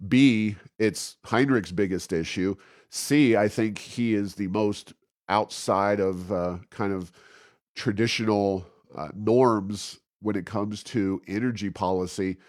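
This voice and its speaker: A man hosting an informal political commentary podcast, speaking in a halting, stammering way. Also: on the low side, fairly even intonation, a little mellow, no room sound, clean studio sound. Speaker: podcast host.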